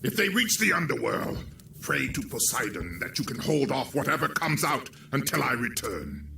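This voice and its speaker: A man's voice: mid-range voice, very animated, normal speed, vocal fry. A man playing a menacing, sneering villain commander in a video game cutscene, with a threatening, taunting delivery. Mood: impatient, commanding. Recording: noisy, echoing game capture.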